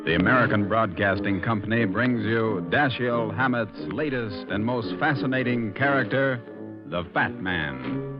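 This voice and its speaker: A man's voice: on the low side, unhurried, lightly acted. A man announcing a 1940s radio drama in a formal, emphatic style. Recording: lo-fi vintage broadcast with music.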